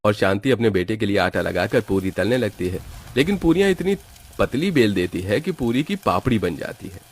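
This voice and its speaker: A man's voice: lowish voice, lively intonation, moderate pace. A man reading scripted narration for a cartoon moral story as its storyteller. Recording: background music under the voice.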